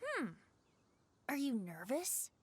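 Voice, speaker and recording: A woman's voice: sits high, huge pitch swings, slow, full character voice. A woman voicing a playful, breezy young male bard in an anime game, with a teasing, theatrical delivery. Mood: amused, playful.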